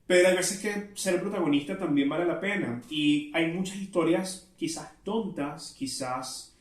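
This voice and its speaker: A higher-pitched man with a Venezuelan Spanish accent talks as a solo podcast host, casual and chatty, wandering off on tangents with some self-awareness. He sounds set back from the microphone in a noticeably echoey room. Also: measured pace. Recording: roomy echo, distant from mic.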